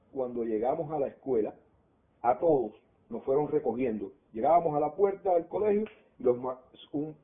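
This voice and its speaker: Older man, Cuban Spanish accent, storytelling, narrow phone-line sound. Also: mid-range voice. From Cuba. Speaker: interviewee. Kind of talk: oral history recollection. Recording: tinny phone-like audio.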